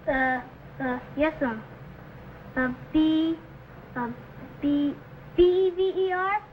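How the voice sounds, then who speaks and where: A woman's voice: high-pitched, takes it slowly, narrow, tinny audio. A woman playing an eager, earnest young boy who dreams of exploring, in an old-time radio adventure. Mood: earnest, grudging. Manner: earnest, protesting, boyish. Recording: slightly noisy old radio recording.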